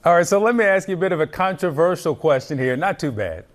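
Brisk male news anchor, slightly high. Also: expressive. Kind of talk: news interview and sports news reading.

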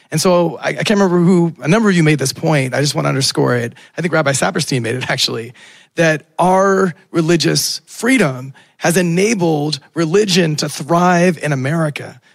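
Male panelist, raspy and hoarse, earnest delivery, dead-dry mic. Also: a little high, dry recording. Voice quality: gravelly. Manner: earnest, thoughtful, with small laughs. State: raspy voice from illness. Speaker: panelist, Baptist minister. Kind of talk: panel discussion remarks.

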